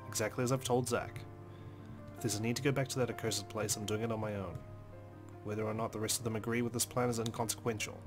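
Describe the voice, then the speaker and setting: A man hosting a let's play, reading a visual novel's story aloud as dramatic, brooding narration. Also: lowish voice.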